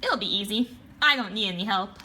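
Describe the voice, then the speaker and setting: A woman's voice: medium pitch, lively intonation, a little fast, sharp tone, a little hiss or hum. A woman voicing a determined, stubborn young boy in a picture book, insistent and self-assured. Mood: confident. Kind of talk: children's picture book read-aloud.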